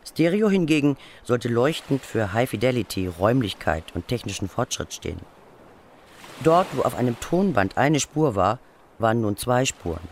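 A man's voice: medium pitch, sing-song, moderate pace, slight background noise. A man reading scripted documentary narration for a radio feature.

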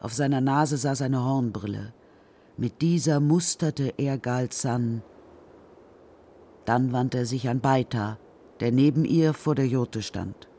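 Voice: medium pitch, takes it slowly, warm tone. A narrator telling a children's story in a scripted, literary radio play style. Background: music.

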